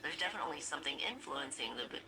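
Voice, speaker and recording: A woman's voice: low-pitched, expressive, very fast, gravelly, slight background noise, heavily acted, rough recording. A woman voicing a moody, sardonic female spaceship AI in a video game, with a droll, drawling delivery. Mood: dry, puzzled. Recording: loud game music behind.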